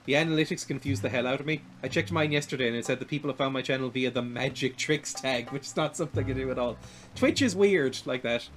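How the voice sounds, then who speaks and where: A young man in his twenties with a light, naturally high voice, rambling and laughing at himself while he streams a video game. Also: fast, faint music behind. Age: 20s. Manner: rambling and self-deprecating, laughs often. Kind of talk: casual chat while playing a video game.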